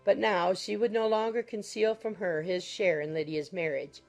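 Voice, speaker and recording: Woman reading a classic novel audiobook, lots of fry, faint music behind her. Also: medium pitch, fairly even intonation, moderate pace, a little mellow. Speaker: narrator. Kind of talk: scripted literary audiobook narration.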